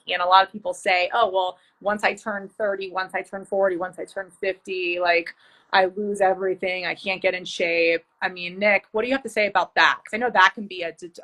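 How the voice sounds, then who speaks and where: A woman in her thirties with a bright, forward voice talks fitness as a podcast co-host. She is chatty and enthusiastic, and her casual talk is full of fillers like "you know" and "like.". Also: lowish voice, fairly even intonation, brisk, low-quality recording. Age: 30s. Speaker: fitness and nutrition coach, co-host.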